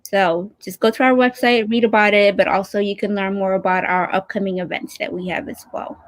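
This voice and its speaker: A woman's voice: medium pitch, steady tone, a little fast. A woman co-hosting a live-streamed talk, upbeat and chatty with frequent fillers. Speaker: nonprofit outreach host. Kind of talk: live-stream interview chat.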